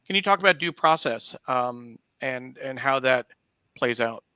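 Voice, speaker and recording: A man with a bright, clear voice hosts an online conversation, recorded dry and close to the ear. He speaks conversationally, feeling his way through phrases with some hesitation. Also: mid-range voice, lively intonation, measured pace, dry recording. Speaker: guest host of an online discussion show. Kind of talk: conversational interviewing.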